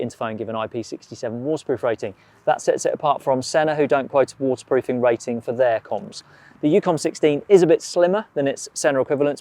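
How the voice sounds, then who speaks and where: A man with a British accent and a mid-pitched voice narrates a scripted product review. He speaks as a presenter, in a measured way, setting out each point in a clear structure. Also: darkish tone, no echo.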